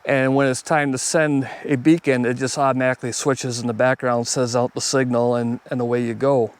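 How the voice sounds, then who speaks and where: A man's voice: medium pitch, little pitch movement, brisk, clean tone. A man talking casually and off the cuff as a hobbyist video host reviewing gear, plainspoken and conversational. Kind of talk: casual unscripted product review.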